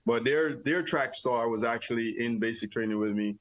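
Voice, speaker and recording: A man's voice: mid-range voice, moderate pace, muffled recording, a little hiss or hum. A middle-aged man from Jamaica, an army veteran telling his story in a casual interview, rambling easily with many fillers. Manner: relaxed, rambling with many "you know" fillers.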